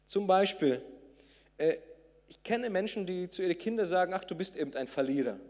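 Man, native Portuguese speaker, preacher, conversational sermon, fillers and restarts, a bit high-pitched, long church-hall reverb. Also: lively intonation, a little fast, a little mellow. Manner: conversational, many fillers and restarts, rising to emphatic. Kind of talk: sermon with a personal story. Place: church hall before a congregation.

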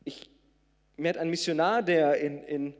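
A slightly high-voiced man preaches in German to his congregation. Portuguese is his first language. He talks in a loose, chatty way, full of fillers and restarts, and swells into emphatic bursts. The voice rings with the long reverb of a church hall. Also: fairly even intonation, a little slow. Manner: conversational, many fillers and restarts, rising to emphatic. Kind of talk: sermon with a personal story.

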